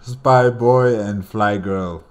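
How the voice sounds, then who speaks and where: A man's voice: on the low side, extremely slow, dull audio, background noise. A man giving casual reaction commentary as a solo host.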